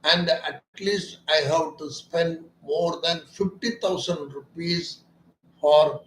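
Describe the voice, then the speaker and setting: A man's voice: a little high, steady tone, slow pace, a little hiss or hum. A man, an ENT doctor explaining his clinic's treatment in an earnest, emphatic way that often repeats its points as questions. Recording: echoey room.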